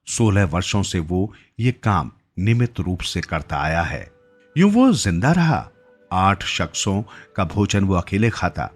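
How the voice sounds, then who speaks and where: Low-pitched male storyteller over music. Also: sing-song, a little slow. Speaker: story narrator. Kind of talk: scripted literary story narration in Hindi.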